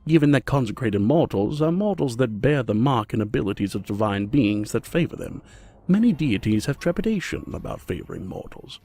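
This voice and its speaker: A man narrating a scripted fantasy audio drama as a measured storyteller. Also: mid-range voice, very animated, a little mellow. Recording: music under the voice.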